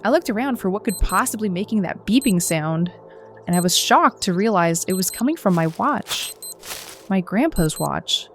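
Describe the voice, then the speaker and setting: Woman acting young runner heroine, horror audio drama, earnest, anxious, suspenseful first-person delivery, medium pitch, faint music bed. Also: expressive, moderate pace, lightly acted. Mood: tense narration.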